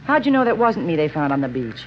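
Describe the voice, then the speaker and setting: An actress playing a restless, defiant runaway in a 1950s radio drama, breezy and theatrical. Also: female voice, low-pitched, big pitch swings, fast, soft background music. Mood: puzzled. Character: restless, defiant runaway daughter of respectable family. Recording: dull, noisy old radio recording.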